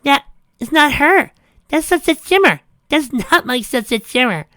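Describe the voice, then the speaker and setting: A man voicing an unhinged teenage girl who drawls in a slow, high falsetto. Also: lively intonation, sharp tone, no echo, studio quality, heavily acted. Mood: eerie. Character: unhinged giggling teen girl.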